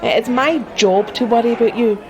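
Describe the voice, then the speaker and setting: Highish-voiced fussing mother played by a woman. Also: a little fast, a little mellow, some chatter behind, lightly acted. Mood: doting. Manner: doting, teasing. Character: fussing, affectionate, bossy mother. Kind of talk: radio drama acting.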